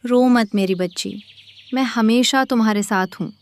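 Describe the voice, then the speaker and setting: Casting: tender storybook spirit mother, voiced by a woman, gentle, lightly high, comforting. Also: moderate pace, natural voice. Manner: soft, melodious, tender. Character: loving spirit of dead mother, soothing. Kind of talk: dramatized cartoon storytelling.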